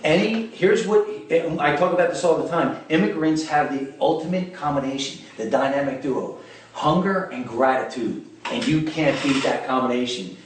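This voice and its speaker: A middle-aged man hosting a motivational interview, talking with upbeat, energetic hype. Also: a little high, moderate pace, slight background noise. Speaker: host. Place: indoor event room. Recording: echoey room, distant mic.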